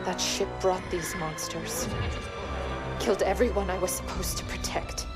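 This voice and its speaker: Lady acting wry female ship ai, controlled, determined, out for revenge, music really loud behind. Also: on the low side, normal speed, natural voice. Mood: grim resolve. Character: wry, vengeful female ship's artificial intelligence. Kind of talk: dramatic video game acting.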